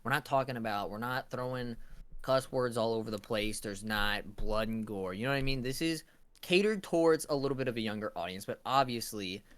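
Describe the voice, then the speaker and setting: A man's voice: medium pitch, brisk, a bit bright, smooth, dry recording. A man co-hosting a casual review discussion, explaining his points and often checking in with the listener. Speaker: co-host asking questions.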